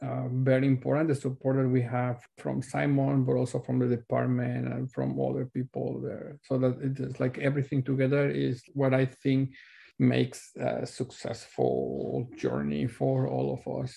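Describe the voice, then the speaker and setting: A man's voice: mid-range voice, fairly even intonation, normal speed, creaky, mellow, breathy edge. A man with a Mexican accent and native Spanish, answering interview questions as a student guest in an informal, loosely phrased way. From Mexico City, Mexico.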